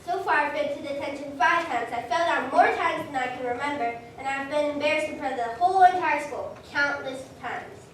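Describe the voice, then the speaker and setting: A woman playing an earnest, plucky teenage schoolgirl with expressive stage delivery in a reader's theater performance. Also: high-pitched, a little fast, hardly any pauses, lightly acted. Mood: frantic, pleading. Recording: distant mic in echoey room, faint noise.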